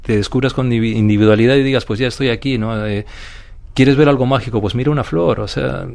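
A man with a Peruvian accent, a guest speaking calmly and reflectively in an interview, often restarting and asking "you know?". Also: mid-range voice, fast, vocal fry. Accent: Peruvian Spanish. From Peru. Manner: calm, thoughtful, many restarts and "¿sabes?". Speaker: guest, paranormal investigator.

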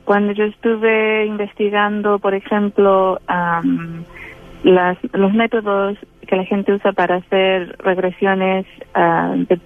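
A woman from Chile with a Chilean-tinged Spanish accent, speaking as an interview guest in a halting way, with many fillers and restarts. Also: mid-range voice, flat delivery. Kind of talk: hesitant conversational interview. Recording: tinny phone-like line with faint music.

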